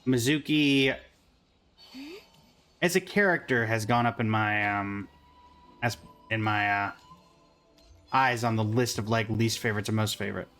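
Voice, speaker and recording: A man's voice: lowish voice, measured pace, pauses often, a bit bright, soft background music. A man giving casual game reaction commentary as a host, in an informal, rambling, offhand manner.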